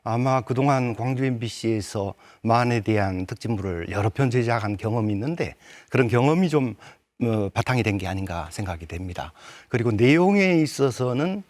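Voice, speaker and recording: A middle-aged man heading a research institute, giving his views on a studio panel in a measured, considered way. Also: mid-range voice, animated, no echo. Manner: measured and considered, with soft fillers.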